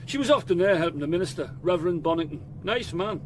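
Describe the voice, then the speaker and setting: An actor playing an affable, flustered chief constable with a Northern English accent, hesitant and polite, in a radio drama. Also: male voice, sits high, lively intonation, fast talker, background noise. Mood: informative. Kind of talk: BBC radio drama acting.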